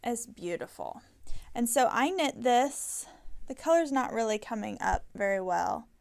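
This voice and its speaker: A woman's voice: a little high, lively intonation, measured pace, creaky. A woman hosting a knitting podcast, chatting casually and rambling, correcting herself often with many ums. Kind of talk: casual chat.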